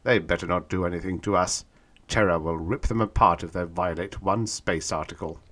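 A man voicing a heavy-set businessman in an audiobook story, speaking bluntly and blusteringly in his own low voice. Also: steady tone, normal speed, fairly bright tone.

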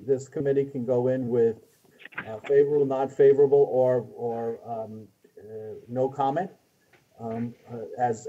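Middle aged guy explaining stuff on a video call, very mellow dark voice, halting, dull lo-fi sound. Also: medium pitch. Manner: halting, trailing off. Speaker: city planning official. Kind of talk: explaining zoning at a meeting. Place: small office with hard ceiling, indoors.

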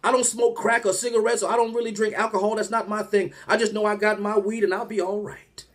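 A man in his thirties doing a comedic rant to camera, animated and exasperated, firing rhetorical questions from a small room at home. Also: high-pitched, fast talker.

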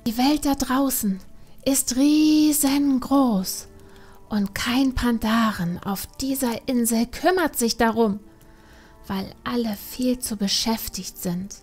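A woman's voice: fairly high pitch, takes it slowly, darkish tone, husky, faint music behind. A woman voicing a defiant, adventurous young panda girl in a fantasy audiobook, sulky and protesting. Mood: indignant. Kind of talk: acted fantasy audiobook dialogue.

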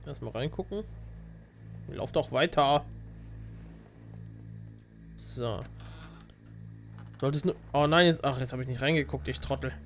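A man's voice: medium pitch, measured pace, long pauses, faint music behind. A German man giving casual, teasing gameplay commentary, often talking to the character on screen. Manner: teasing, talks to the game character. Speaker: let's player.

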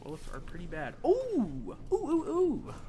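A young man giving casual, rambling commentary while he plays a game live, excitable and joking at his own expense. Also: very high voice, a little hiss or hum. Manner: excitable, rambling, self-mocking, quick laughs. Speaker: streamer.

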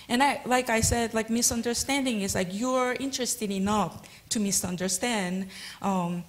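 A Korean woman in her forties speaking English with a Korean accent as a panelist on stage, talking fast with many fillers and laughs into a handheld mic. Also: medium pitch, poor audio. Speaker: panelist artist. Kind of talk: panel discussion. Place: stage of a museum auditorium.

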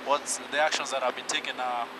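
A man's voice: mid-range voice, little pitch movement, brisk. A man, an airline pilot, patiently explaining cockpit instruments in flight. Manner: technical, patient, with fillers. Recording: loud engine and cockpit noise.

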